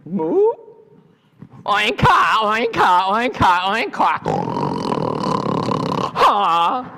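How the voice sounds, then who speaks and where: A man voicing mocking farm animals in a storybook, with loud exaggerated brays, clucks, quacks and ha-has. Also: high voice, huge pitch swings, drawn-out words, voice pushed up, full character voice. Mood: jeering, laughing. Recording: noisy, reverberant hall.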